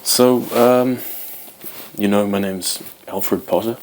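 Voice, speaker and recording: A man's voice: on the low side, fairly even intonation, slow, a little mellow, a little hiss or hum, rough recording. A man in his twenties, born in Wales, chatting casually to camera as host of his guitar channel, rambling with many ums. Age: 20s.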